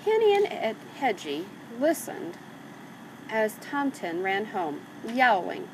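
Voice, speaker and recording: A woman reading a children's story aloud in an expressive narrating style. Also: on the high side, slow. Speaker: storybook reader. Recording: clearly noisy background.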